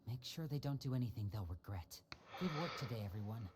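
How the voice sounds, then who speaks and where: An actor playing a composed, earnest young man who leads his siblings, speaking in a measured, serious way in a video game. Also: medium pitch, lively intonation, heavy background noise, natural voice. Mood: decisive, calm. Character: composed young male stage-magician elder brother, earnest leader.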